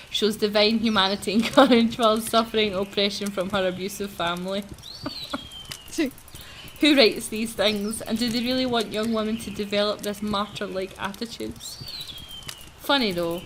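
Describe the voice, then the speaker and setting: Woman commentating over clear background noise. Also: mid-range voice, a bit bright. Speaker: let's play host. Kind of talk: casual gameplay commentary and reading aloud.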